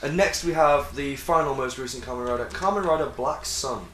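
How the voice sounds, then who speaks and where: Fast-talking young man in an echoey room. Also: medium pitch, pushed and bright. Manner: sweary, enthusiastic fan explaining details. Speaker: host ranking TV hero suits with friends. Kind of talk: casual chat, explaining and commenting. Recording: roomy echo, clear background noise.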